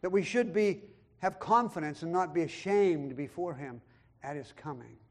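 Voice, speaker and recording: A man with a notably high voice delivers a sermon, quoting scripture aloud. He swells up loud on the big points and sinks to a hush on the asides, now and then acting out other people's words. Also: normal speed. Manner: emphatic preaching, swings between loud high lines and quiet low asides; quotes scripture and acts out voices of others in the talk. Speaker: pastor.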